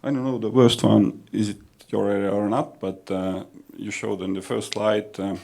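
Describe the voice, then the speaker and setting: A man asking a question from a seminar audience, measured and searching for words. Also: lowish voice, flat delivery, gravelly, darkish tone.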